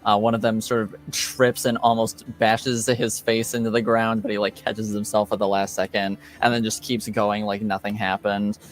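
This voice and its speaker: A man narrating a tabletop roleplaying game as game master, with halting delivery full of fillers. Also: fairly low pitch, flat delivery, soft background music, rough recording.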